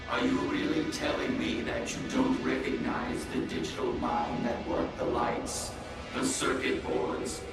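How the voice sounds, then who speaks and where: A man's voice: medium pitch, natural voice. Echo-drenched, condescending supercomputer villain, acted by a man. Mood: smug, taunting. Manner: formal, articulate, condescending monologue. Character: sentient evolving supercomputer, urbane, coldly grandiose villain. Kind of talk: scripted comic-book drama acting. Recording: heavily echoing, distant, loud music behind.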